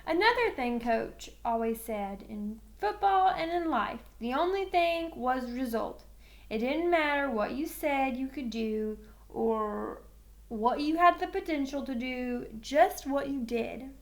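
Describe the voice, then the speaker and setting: A woman reading a first-person children's novel aloud with expressive storytelling. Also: high-pitched, slow. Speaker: read-aloud reader.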